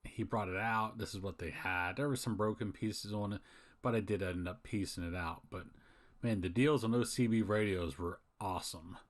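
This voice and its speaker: A man's voice: on the low side, moderate pace. A man hosting a picking vlog, narrating casually in a folksy, informal way. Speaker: host of a yard sale picking channel. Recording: music behind the voice. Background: music.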